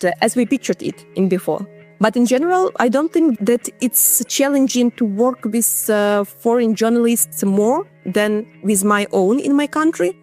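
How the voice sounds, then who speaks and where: A woman's voice: mid-range voice, darkish tone. A woman from Ukraine, a journalist guest, answering interview questions in careful, hesitant English with many fillers and non-native phrasing.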